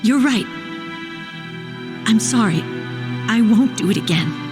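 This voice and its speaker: Game role: young soldier, earnest, self-doubting, urgent, music behind. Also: female voice, on the high side, animated, takes it slowly. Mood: ashamed, apologetic. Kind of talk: dramatic video game voice acting.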